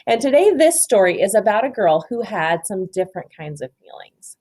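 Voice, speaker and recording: A woman reading a children's story aloud expressively, pausing to ask simple questions and encourage answers. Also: medium pitch, very animated, warm tone, slightly rough.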